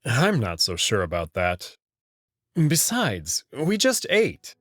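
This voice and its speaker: A man playing an anxious, wry young human man in a visual novel, with an expressive delivery. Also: medium pitch, slow pace, no room sound, clean studio sound, full character voice. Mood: reluctant, wry. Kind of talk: visual novel narration and character acting. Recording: music behind the voice.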